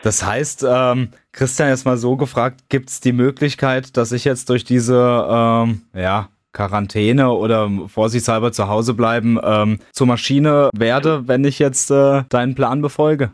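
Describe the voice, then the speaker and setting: Male podcast host, middle pitch, casual and hesitant, dry close mic. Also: measured pace, hardly any pauses, no room sound. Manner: relaxed, hesitant questions with fillers. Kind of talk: casual podcast chat and interview.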